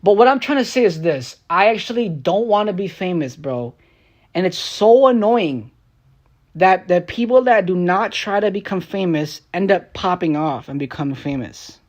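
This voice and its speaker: A young man, a streamer and rapper, ranting on a live stream in a rambling, profane, frustrated way. He drops into low mutters and then bursts out loud. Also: animated, normal speed. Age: young adult. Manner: swings between low mutters and raised outbursts.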